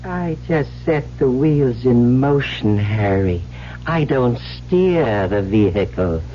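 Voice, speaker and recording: Slow, menacing malignant machine spirit. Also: male voice, mid-range voice, expressive. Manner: deliberate, smug, menacing. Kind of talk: vintage radio drama acting. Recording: muffled old radio recording with hiss.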